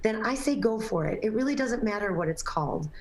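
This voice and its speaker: A woman's voice: mid-range voice, lively intonation, a little fast, reverberant. A woman guest on a morning TV talk show, a personal trainer and nutrition coach, talking casually with many fillers, her voice a little scratchy. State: slightly scratchy voice. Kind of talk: morning TV interview.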